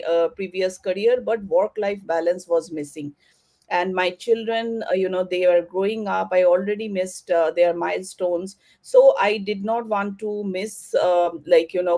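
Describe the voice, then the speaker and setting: A woman hosting an online panel over a video call, who talks haltingly with many fillers and restarts. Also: fairly low pitch, a little mellow. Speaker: panel host.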